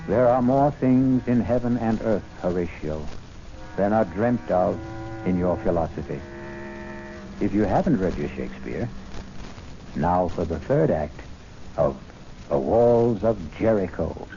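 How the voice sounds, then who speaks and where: A man hosting an old-time radio drama with measured, portentous, theatrical scripted narration. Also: lowish voice, warm tone, slight background noise. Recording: muffled old radio broadcast with music. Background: music.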